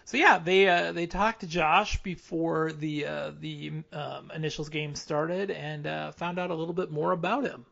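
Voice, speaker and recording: A man's voice: on the high side, fairly even intonation, normal speed, gravelly, few pauses, a bit bright. A man from Minnesota hosting a casual podcast recap, laughing often and talking with plenty of "uh" and "so". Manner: chuckling, filler-heavy storytelling. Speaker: podcast host. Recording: dull lo-fi audio.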